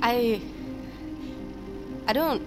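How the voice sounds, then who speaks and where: An actress playing a guarded, weary scientist in an audio drama, with dry, clipped delivery. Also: female voice, a little high, very slow. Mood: flustered. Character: guarded, weary woman scientist at a secret tech compound. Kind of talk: naturalistic audio drama acting. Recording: cinematic music bed behind dialogue.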